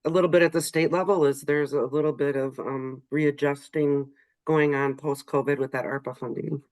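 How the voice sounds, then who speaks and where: A woman's voice: deep voice, fairly even intonation, moderate pace, darkish tone, no echo. A middle-aged woman from a state agency, talking through her frustrations on a video call in a rambling way, full of "you know". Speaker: state agency staff member. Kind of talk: casual video call discussion.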